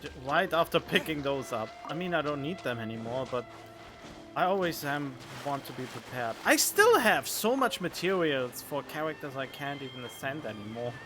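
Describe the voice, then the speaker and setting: A man's voice: on the high side, animated, normal speed. A man giving casual commentary as he plays a video game, with music behind him. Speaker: gamer, streamer. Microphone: studio microphone.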